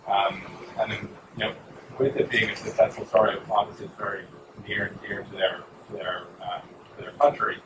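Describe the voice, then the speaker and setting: A man's voice: fairly low pitch, flat delivery, a little fast, very noisy. A man in the audience asking a meandering question, full of fillers. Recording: distant, echoey hall.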